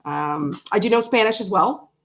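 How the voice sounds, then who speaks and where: A woman's voice: mid-range voice, expressive, normal speed, a bit bright, a little hiss or hum. A woman in her forties, raised in Florida and living in Canada, with a mixed American and Canadian accent, chatting loosely on a livestream and answering comments with lots of fillers and restarts. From Canada, raised in South Florida. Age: 40s. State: tired. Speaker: livestream host. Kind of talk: casual chat reading live comments.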